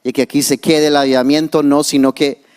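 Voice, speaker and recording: A man's voice: fairly high pitch, flat delivery, brisk, darkish tone, clean tone. A young male pastor, a native English speaker, preaching a sermon with casual asides and restarts.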